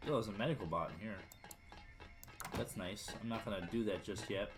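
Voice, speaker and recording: A man's voice: on the low side, fairly quick, music in the background. A man giving casual, wry commentary as he plays a video game, often muttering to himself. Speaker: let's play video game host.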